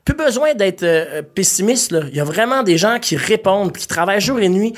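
A young man with a Quebec French accent, chatting passionately about politics, swearing casually and filling pauses with "euh". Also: sits high, animated.

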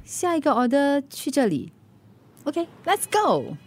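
Cheerful delivery rider mum, high voice, Singaporean accent, chatty, English mixed in, radio drama, music behind. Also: female voice, very animated, slow, a little mellow, exaggerated voice. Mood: breezy, brisk. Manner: chatty, mixes English words into Mandarin. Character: cheerful helpful food delivery rider, a mother. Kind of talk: naturalistic Mandarin radio drama acting.

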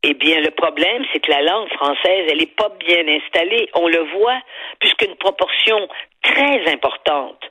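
An older Quebec woman speaks in a sharp, husky voice, close and dry, as if in a talk radio exchange. She delivers opinions with emphasis, then halts, doubles back and digresses, tossing rhetorical asides to her listener. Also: sits low, dry recording, low-quality recording. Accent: Quebec French. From Quebec, Canada. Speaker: columnist and commentator on a talk show. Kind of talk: opinionated radio commentary in conversation.

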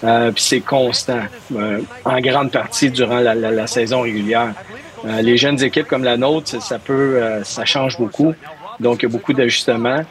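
A man's voice: mid-range voice, steady tone, moderate pace. A man from Montreal with a Quebec French accent answering interview questions as a hockey coach, hesitating and repeating words as he searches for them.

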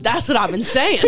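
A woman in improvised comedy banter, sweary, confrontational and teasing. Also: very high voice. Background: music.

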